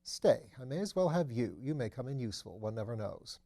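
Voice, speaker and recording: A man voicing a genial aristocratic gentleman detective in an audiobook, affable, with clipped upper-class phrasing. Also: medium pitch, expressive, a little fast, creaky. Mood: musing. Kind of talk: audiobook character voice.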